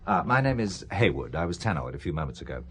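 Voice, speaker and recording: A man's voice: sits low, sing-song, fast, a little hiss or hum. An actor playing a polite, slightly hesitant middle-aged English businessman in an audio drama. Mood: courteous.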